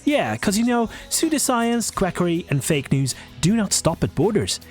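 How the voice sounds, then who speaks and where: A woman reads a scripted podcast promo. Her intonation is highly animated, and music plays softly underneath. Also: moderate pace.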